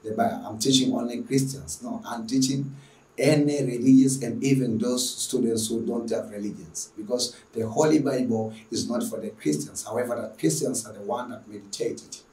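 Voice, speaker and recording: A man's voice: mid-range voice, fairly even intonation, moderate pace, mellow. Tanzanian guy doing an online lecture intro, earnest and emphatic, mic kinda far away in an echoey room. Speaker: online mathematics teacher. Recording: echoey room, distant microphone.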